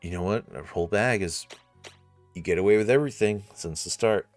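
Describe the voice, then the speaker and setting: A man's voice: on the low side, fairly quick, no room sound, faint music behind. A man narrating a visual novel story, slipping in wry, informal asides as commentary.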